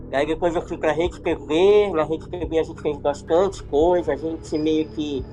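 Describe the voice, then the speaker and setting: A middle-aged man tells his career stories in a loose, rambling way. His voice sits a little high, with faint music underneath. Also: poor audio. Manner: relaxed, rambling storyteller. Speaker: TV producer and director, guest. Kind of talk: casual podcast interview.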